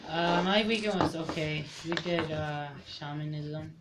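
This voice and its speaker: A man's voice: fairly high pitch, a little slow. A young man co-hosting a casual podcast, telling a story in loose slang and swearing freely. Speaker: podcast co-host. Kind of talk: casual chat. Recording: noisy home recording.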